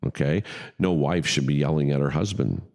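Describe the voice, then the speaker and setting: A man's voice: rumbling low, brisk, a little mellow. A middle-aged man with a big voice, a pastor hosting a casual podcast chat and talking emphatically, often checking in with "okay?".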